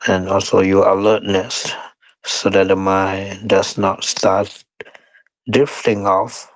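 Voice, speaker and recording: A man teaching guided meditation in short, unhurried phrases with pauses and non-standard English grammar. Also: low voice, little pitch movement, no echo, poor audio.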